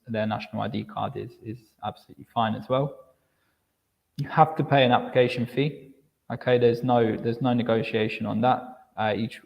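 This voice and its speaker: A man presenting university admission steps in a live online session, explaining clearly, often repeating words as he restarts and checking in with "okay". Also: mid-range voice, big-room sound, dull audio.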